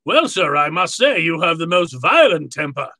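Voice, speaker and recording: An actor playing a jovial, courtly, calculating fat crime boss in a radio drama, speaking floridly and with relish. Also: male voice, fairly high pitch, sing-song, moderate pace, pushed and bright, no room sound, exaggerated voice. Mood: smug. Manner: florid, pompous, relishing his words. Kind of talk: old-time radio drama acting.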